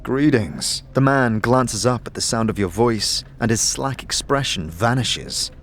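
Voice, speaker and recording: A man's voice: medium pitch, lively intonation, measured pace, fairly bright tone. A man reading scripted second-person fantasy narration as the storyteller of an audio drama. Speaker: narrator and protagonist's voice.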